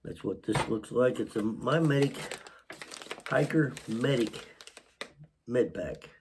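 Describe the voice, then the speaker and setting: A hoarse man with a Southern US accent, fighting a cold and talking slowly as he unboxes gear for his channel. His speech is halting, broken by throat clearing, with a faint hiss of noise behind him. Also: medium pitch, animated. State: sick with a cold, voice partly lost. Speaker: channel host. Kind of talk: casual unboxing vlog.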